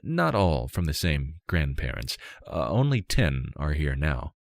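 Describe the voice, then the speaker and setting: Man as a polite, low-voiced young warrior. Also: very animated, a little slow, creaky, dry recording, studio quality, heavily acted. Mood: polite, modest. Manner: courteous, slightly hesitant. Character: polite, modest young male warrior guest.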